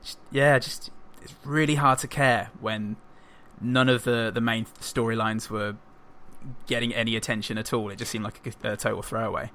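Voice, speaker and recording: A man's voice: fairly low pitch, flat delivery, normal speed, pushed and bright. A man on a podcast panel, giving casual criticism of an episode.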